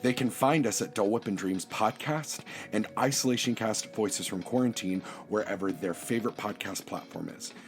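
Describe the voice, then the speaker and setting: A man's voice: on the low side, normal speed, hardly any pauses. A man reading a scripted introduction and credits as a podcast announcer. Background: prominent music.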